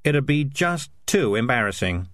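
A man's voice: medium pitch, very animated, unhurried, a bit bright, no echo, studio quality, voice pushed up. A man voicing a streetwise talking tomcat in a storybook, with a wry, world-weary, grumbling way of talking. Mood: meek, uneasy.

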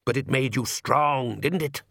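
Audiobook vampire patriarch, played by a male narrator: pompous, coaxing, excitable, with a dry close sound. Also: medium pitch, a little slow, vocal fry, no echo. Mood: smugly reassuring. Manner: pompous, persuasive.

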